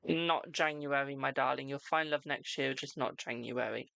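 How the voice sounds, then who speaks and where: A British tarot reader hosting a live stream, chatting casually and answering viewers' questions with a brisk "my darling". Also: mid-range voice, gravelly, bright, forceful tone, no room sound. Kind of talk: casual live-stream chat and card readings.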